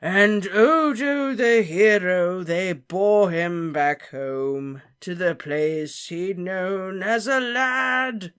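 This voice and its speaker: A narrator voicing a tipsy, maudlin old man, a plump wizard teacher, with drawn-out, quavering, wheedling lines that sit well above his own voice. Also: medium pitch, animated, a bit bright, pitched above the performer's own voice. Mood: drunken singing.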